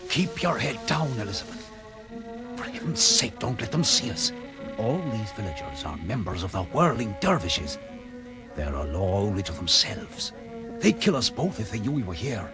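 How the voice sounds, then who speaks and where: Radio drama schoolteacher, mid-pitched, urgent and knowing, animated delivery over music. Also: male voice, moderate pace. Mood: urgent, alarmed. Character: tense, knowing schoolteacher guiding a companion through danger. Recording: music under the voice.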